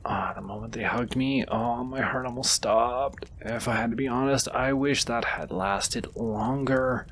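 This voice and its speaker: A man playing a gentle, easily flustered wizard in a comforting fantasy audio roleplay, tender, soft-spoken and intimate. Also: mid-range voice, very animated, measured pace, gravelly, hardly any pauses, a little hiss or hum, exaggerated voice. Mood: smitten, confiding. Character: gentle, flustered male wizard comforting a companion he secretly adores.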